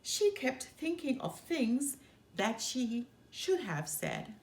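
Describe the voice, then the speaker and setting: A woman reading a children's picture book aloud as a storytime host, with deliberate, expressive delivery. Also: sits high, roomy sound.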